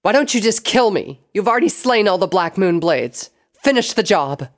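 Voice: mid-range voice, normal speed, darkish tone, natural voice. A narrator voicing a fierce, defiant female pony assassin in an audiobook story, hissing and muttering. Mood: furious, defiant. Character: Sable, fierce defiant unicorn-world mare assassin.

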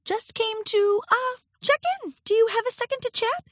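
A woman voicing an anxious, eager young pony princess in a children's audiobook, talking in a nervous, gushing, halting way. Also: extremely high pitch, fairly even intonation, no echo, studio quality, voice pushed up.

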